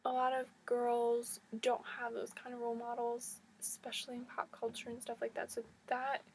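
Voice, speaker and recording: A woman's voice: fairly high pitch, monotone, normal speed, a little hiss or hum, rough recording. A woman losing her voice, talking in a casual, reflective monologue, hesitant and often restarting her thoughts. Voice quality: husky, voice cracking in and out. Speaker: vlogger.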